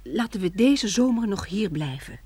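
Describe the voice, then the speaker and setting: An actress playing a gentle, wistful farmer's wife in a radio drama, earnest, with a husky voice that is breathy and half-whispered at times. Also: female voice, mid-range voice, big pitch swings, moderate pace, no echo. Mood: wistful, tender. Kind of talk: radio play acting.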